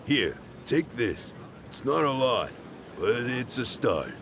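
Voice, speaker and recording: A man's voice: medium pitch, big pitch swings, slow pace, background noise. A man playing a helpful, courteous townsman in a video game, advising a visitor. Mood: helpful, polite. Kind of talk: video game character dialogue.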